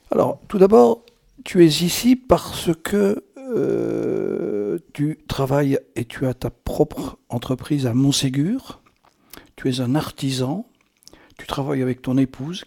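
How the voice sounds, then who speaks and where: A man's voice: mid-range voice, creaky, warm tone. Playful, theatrical male radio host. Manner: playful, teasing, flowery compliments. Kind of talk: chatty, improvised radio interview.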